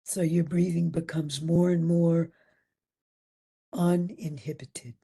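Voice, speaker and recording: A woman leading a guided meditation as instructor, gentle and soothing, with long pauses and a breathy voice that at times nears a whisper. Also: fairly low pitch, steady tone.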